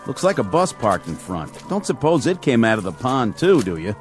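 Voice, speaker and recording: An actor playing a steady, dryly skeptical state trooper in a radio drama, laconic and authoritative. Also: male voice, mid-range voice, moderate pace, faint music behind, close to an everyday voice. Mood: dry, wry.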